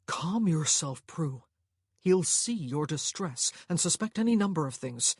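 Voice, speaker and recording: A steady young man from a storybook, acted by a male reader, protective and plain-spoken. He talks firmly and directly in a husky voice, recorded close and dry. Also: fairly high pitch, unhurried, no room sound, full character voice. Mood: reassuring. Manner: firm, reassuring, direct. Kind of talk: audiobook character dialogue.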